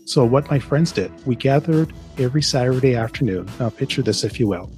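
A man's voice: mid-range voice, expressive, a little fast, darkish tone, faint music behind. A middle-aged man from Jamaica, a guest author, telling stories enthusiastically in a casual interview. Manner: enthusiastic storyteller, some restarts, laughs.

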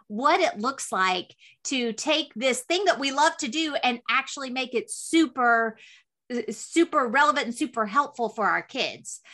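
A woman hosts an interview podcast in a high-pitched voice with a bright, forward edge. She is chatty and emphatic, stacking phrases as she goes. The style is informal and conversational. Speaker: podcast host.

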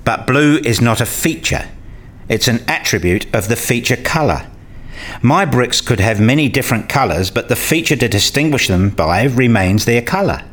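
A man playing a keen, inquisitive learner in a radio play, questioning and candid, quick to challenge what he is told. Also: medium pitch, expressive, a bit bright. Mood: curious, analytical.

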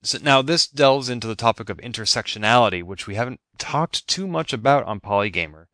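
A man from Boston hosting a podcast interview, asking measured, thoughtful questions. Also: mid-range voice, lively intonation, a bit bright, dry recording. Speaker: podcast host. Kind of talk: interview conversation.

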